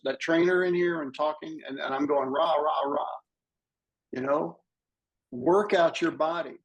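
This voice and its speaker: A man in his sixties with a husky voice, earnestly telling his own patient story and advising listeners. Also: on the high side, poor audio. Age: 60s. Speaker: patient panelist. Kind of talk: sharing a personal story on a video panel.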